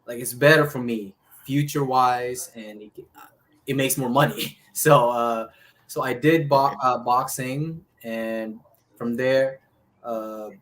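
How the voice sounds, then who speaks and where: A man with a Filipino accent giving casual interview answers as a boxer, speaking haltingly with pauses mid-sentence. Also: medium pitch, steady tone, fairly bright tone. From Philippines. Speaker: professional boxer, interview guest. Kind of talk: casual interview answers, recounting his life.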